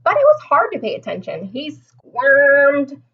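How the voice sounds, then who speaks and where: A woman's voice: sits high, wildly swooping pitch, measured pace, phone-quality sound. A woman reading a picture book aloud to young children as their teacher, expressively explaining and asking them questions. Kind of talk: animated storybook read-aloud with questions to listeners.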